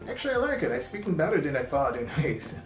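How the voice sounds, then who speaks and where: A man with a Portuguese accent in his English, a native Portuguese speaker, hosting a casual reaction video, talking haltingly with many fillers and restarts. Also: a little high, big pitch swings. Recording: roomy echo, faint music.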